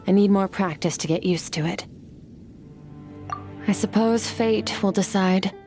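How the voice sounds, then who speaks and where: A woman voicing an ancient, aloof warrior in a video game, speaking in a cool, formal, philosophical and measured manner. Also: medium pitch, a little mellow, natural voice. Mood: reserved, gentle. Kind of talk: dramatic video game voice acting. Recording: music bed behind the voice.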